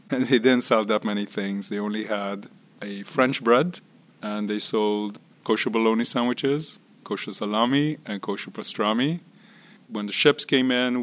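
Low-voiced man in his sixties, unhurried. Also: a bit bright. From Israel and Curaçao. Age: 60s. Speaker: interview guest. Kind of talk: relaxed personal storytelling.

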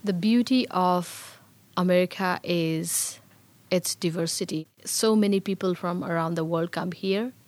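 A woman's voice: on the low side, unhurried, darkish tone, no echo. A middle-aged woman from Bhutan, a native Nepali speaker with a Bhutanese Nepali accent, earnestly recounting her life story in an interview. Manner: reflective, earnest. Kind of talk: personal storytelling.

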